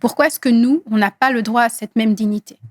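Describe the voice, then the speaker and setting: A woman in her thirties from Congo whose first language is Swahili, speaking earnestly in an interview with many hesitant pauses. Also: fairly high pitch, darkish tone, dry recording, clean studio sound. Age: 30s. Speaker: guest activist. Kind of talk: interview conversation.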